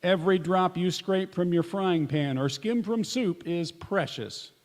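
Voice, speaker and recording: Man playing high-pitched wartime radio announcer. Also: a little slow. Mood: earnest. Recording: echoey hall, long reverb.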